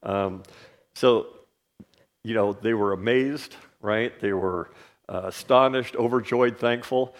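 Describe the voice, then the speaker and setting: Lowish man teaching Bible casually. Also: takes it slowly. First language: not English. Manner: relaxed, many fillers, asks "right?" often.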